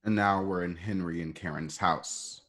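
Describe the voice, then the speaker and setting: A man narrating stage directions informally, in halting, improvised phrasing. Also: low-pitched, fairly even intonation, a bit bright.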